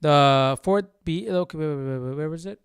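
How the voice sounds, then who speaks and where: A man's voice: fairly high pitch, normal speed, clean tone. A man hosting a livestream Bible study, talking informally and thinking aloud. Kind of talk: casual livestream chat and study commentary.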